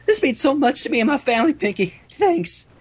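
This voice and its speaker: A reader voicing a plain-spoken, warm female country pony from a cartoon, folksy and earnest. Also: sits high, big pitch swings, a little fast, voice pushed up. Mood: touched, grateful.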